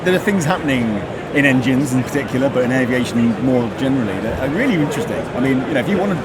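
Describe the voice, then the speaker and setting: A man interviewing a guest who rattles through his questions quickly, often stopping and restarting them in a casual, chatty way. His voice sits at a mid pitch, and loud background noise runs under the whole recording. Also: lively intonation, a loud crowd. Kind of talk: trade show interview.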